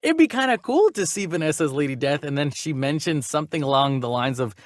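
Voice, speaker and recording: Male podcast host, jokey casual chat, very lively intonation, dry close sound. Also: on the high side, quick pace, dry recording. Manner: jokey, animated, stumbles over names when reading. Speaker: podcast host reading and discussing movie news. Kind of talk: casual chat with read-out news items.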